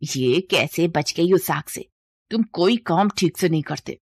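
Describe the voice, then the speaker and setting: Low-voiced, two-faced scheming aunt played by a woman. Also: animated, a little slow, husky, no echo, clean studio sound, exaggerated voice. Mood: scheming, annoyed. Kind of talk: moral-story acting.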